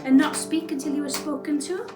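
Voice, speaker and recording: A woman's voice: sits high, fairly even intonation, brisk. Woman reading a story in an echoey room. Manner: asks children questions while reading. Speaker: story reader. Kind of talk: children's storytelling. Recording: echoey room with loud music. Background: music.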